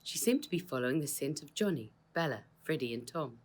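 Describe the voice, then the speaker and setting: A woman reading a children's story as its author, in a measured, expressive storytelling manner. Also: very deep voice. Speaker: author reading their own book. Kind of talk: children's audiobook narration.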